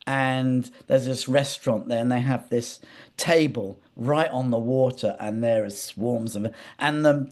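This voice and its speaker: A man's voice: mid-range voice, darkish tone. A man speaking as an interview guest, telling anecdotes in a hesitant, digressive way with repeated words. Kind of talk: conversational interview, rambling anecdotes.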